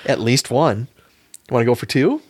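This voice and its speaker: A man's voice: medium pitch, animated, normal speed, a little mellow, husky, no room sound. A man hosting a casual film discussion, bantering and giving firm opinions. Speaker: podcast host.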